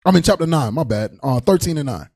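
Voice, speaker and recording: A man's voice: on the high side, animated, fast talker, creaky, darkish tone. An American man in his thirties preaching as a panel co-host in an emphatic, colloquial way, often calling out agreement. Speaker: panel co-host, religious teacher. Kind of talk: animated preaching, call and response. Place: indoor curtained studio set, panel table. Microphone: handheld microphone.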